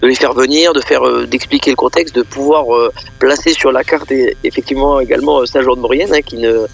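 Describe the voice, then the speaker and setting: A man speaking off the cuff as a festival organiser and guest in a radio interview, halting and often repeating words mid-phrase. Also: mid-range voice, fairly even intonation, gravelly.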